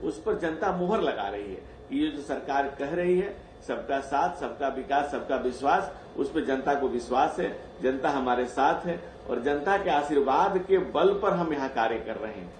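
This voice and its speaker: A man's voice: medium pitch, expressive, moderate pace, few pauses. Male political spokesman, roomy sound with slight noise, declarative and slogan-heavy debate delivery. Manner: declarative, repetitive, slogan-laden. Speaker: ruling party spokesman, debate guest. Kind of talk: political rhetoric. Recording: echoey room, noisy feed.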